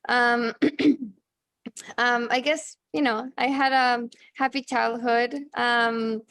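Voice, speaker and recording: A woman in her thirties from Chile, a native Spanish speaker, talking casually as an interview guest, halting with many fillers and restarts. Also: fairly high pitch, fairly even intonation, a bit bright, no echo. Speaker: guest, journalist and author.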